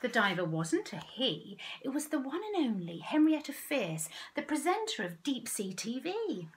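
A woman's voice: high voice, sing-song, normal speed, a little hiss or hum. A middle-aged woman, a children's author, reading her picture book aloud expressively for young children, outdoors. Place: outdoors in a back garden.

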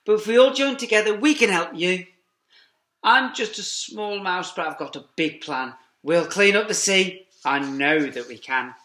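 Bright-voiced man reading a picture book. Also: high-pitched, moderate pace. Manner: lively sing-song reading, quiet asides to children. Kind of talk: expressive read-aloud for young children. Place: classroom. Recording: echoey classroom.

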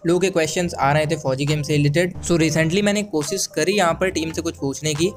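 A man's voice: a little high, fairly even intonation, a little fast. A man from India with an Indian accent hosts a casual gaming news voice-over, mixing in English words as he goes. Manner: code-switches between Hindi and English. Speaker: gaming channel host. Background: background music.

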